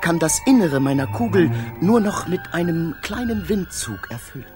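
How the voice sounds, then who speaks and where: Radio drama lead: man playing a proud poet-philosopher adrift in space, theatrical, highly animated, over clear music. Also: moderate pace, lightly acted. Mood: musing wonder. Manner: declamatory, rapturous, theatrical. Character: awestruck male space traveller, dreamy poet-philosopher, eloquent and proud. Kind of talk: literary German radio drama monologue.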